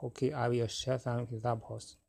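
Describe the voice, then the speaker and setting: A middle-aged man whose first language is Chinese speaks in a mid-pitched voice with a gravelly edge. He talks haltingly, stopping and restarting between fillers. He walks through a chart in a casual talk. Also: flat delivery, warm tone. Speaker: forex trader. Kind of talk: casual screen-recorded trading talk.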